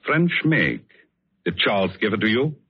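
An actor playing a vengeful, controlled man holding a pistol, speaking deliberately and accusingly in old-time radio suspense style. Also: on the low side, sing-song, breathy edge. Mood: cold, accusing. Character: vengeful, coldly controlled man with a pistol, long-waiting avenger. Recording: muffled old radio drama recording.

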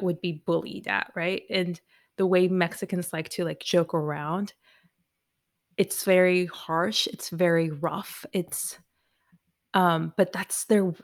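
A woman's voice: on the low side, gravelly. A woman whose first language was Spanish, talking casually and rambling with lots of "like"s. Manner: rambling, many "like"s. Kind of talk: casual group chat.

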